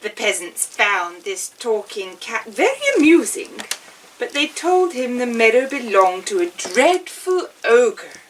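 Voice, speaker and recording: A woman reading a children's fairy tale as a storyteller witch, in a theatrical, measured way. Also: on the high side, very animated, distant mic, slight background noise, low-quality recording. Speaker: storyteller playing an amusing witch.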